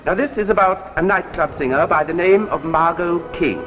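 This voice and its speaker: An actor playing an older, courteous and persuasive successful author in a 1950s radio drama, with a theatrical, gracious manner. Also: male voice, high voice, normal speed, soft background music, close to an everyday voice. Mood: dramatic, suspenseful. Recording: muffled, hissy old radio recording, reverberant.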